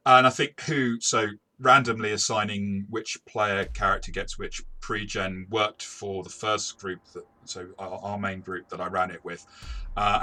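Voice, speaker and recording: A man in a conversational game review, speaking in a hesitant, halting way and often correcting himself. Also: fairly low pitch, pushed and bright. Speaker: game reviewer and experienced game master.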